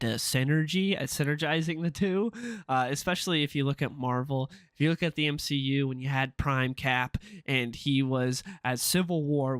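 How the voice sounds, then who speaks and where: A man's voice: mid-range voice, smooth, no room sound. Man, sick and stuffed up, nasal, chatty opinion talk, dry close sound. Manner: chatty and opinionated, with frequent "uh" fillers. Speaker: comic book commentary YouTuber. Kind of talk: casual opinion commentary.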